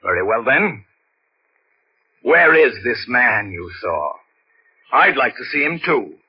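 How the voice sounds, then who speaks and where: An actor playing a domineering, rattled, menacing husband who interrogates insistently, in 1940s radio mystery style on an old recording. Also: male voice, medium pitch, big pitch swings, takes it slowly, husky, highs cut off, exaggerated voice. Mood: cold threat.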